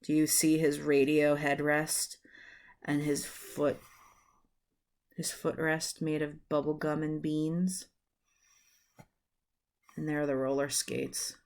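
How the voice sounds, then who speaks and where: Female storyteller, thirties, lowish voice, very unhurried children's read-aloud, recorded at home on a webcam. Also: little pitch movement, a little mellow. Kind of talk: reading a children's book aloud. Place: small room at home, indoors.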